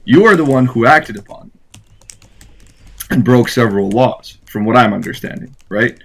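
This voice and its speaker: Man, somewhat rough husky voice, mid pitch, spontaneous pressing questions, confrontational. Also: moderate pace. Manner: insistent, confrontational. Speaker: questioner confronting someone on a call. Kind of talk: spontaneous interrogation on a call.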